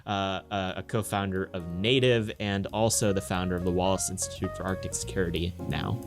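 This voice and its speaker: A man's voice: low voice, fairly even intonation, fairly quick, over music. A man hosting a podcast in casual talk, teasing his co-host with jokes. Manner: joking, teasing banter.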